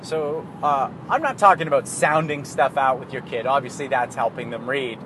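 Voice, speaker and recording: A man's voice: medium pitch, moderate pace. A Canadian man talking in a casual, rambling monologue, swearing freely and laughing at himself. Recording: street and car noise behind.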